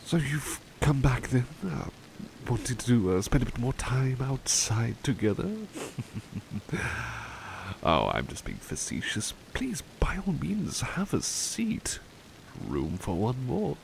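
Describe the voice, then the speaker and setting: Man playing a slow, gentle, devoted butler. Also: mid-range voice, very animated, gravelly, background noise, full character voice. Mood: courteous, warm. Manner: gentle, hesitant, softly formal and courteous. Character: devoted, affectionate, polite male butler comforting his companion. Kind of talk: ASMR roleplay, intimate personal attention.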